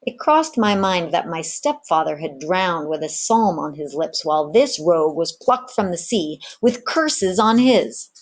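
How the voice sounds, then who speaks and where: A woman's voice: lowish voice, very animated, measured pace, hardly any pauses. A woman narrating a storybook as a teacher reading aloud.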